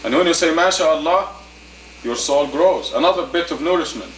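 A man in his fifties giving a sermon as an imam, deliberate and emphatic, in short phrases and rhetorical questions. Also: fairly high pitch. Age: 50s. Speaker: imam, preacher. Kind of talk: sermon, teaching plainly to a congregation. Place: indoor meeting room. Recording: roomy, distant camcorder audio with faint noise.

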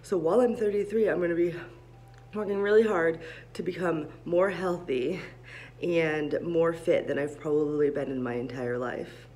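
A woman's voice: low-pitched, steady tone, moderate pace, darkish tone, husky. A woman in her thirties chatting upbeat and rambling into a phone held close, first in a gym hallway and then in a parked car. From Vermont, USA. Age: 30s. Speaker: vlogger. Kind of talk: casual vlog chat.

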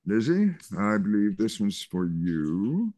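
An older man reading a gentle, hesitant reverend. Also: on the low side, big pitch swings, slow, no echo. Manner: hesitant, gentle, many fillers. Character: kindly older male reverend. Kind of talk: Zoom play reading. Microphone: video call.

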